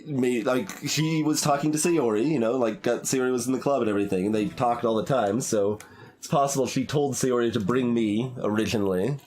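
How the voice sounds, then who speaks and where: A man giving casual, rambling commentary as he plays a game, halting and thinking aloud as he speculates, with game music behind him. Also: medium pitch, a little mellow. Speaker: streamer doing a blind voiced playthrough. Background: video game music.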